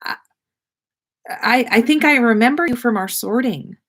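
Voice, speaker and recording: Lady reading as a sheepish young schoolboy, bit gruff, halting, kindly, storybook style. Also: on the high side, animated, voice pushed up. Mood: hesitant, friendly. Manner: hesitant, halting.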